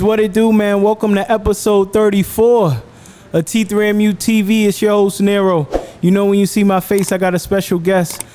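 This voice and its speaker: High-voiced upbeat male podcast host. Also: darkish tone, slight background noise. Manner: upbeat, hypes the guest, frequent affirmations. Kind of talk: casual interview chat.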